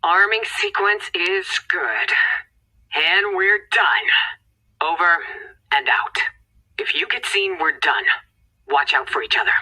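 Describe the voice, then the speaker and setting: A woman's voice: fairly low pitch, takes it slowly, pauses often, pushed and bright, heavily acted, low-quality recording. A woman playing an urgent, commanding scientist in a video game, giving terse, tense mission directions. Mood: tense, cautious.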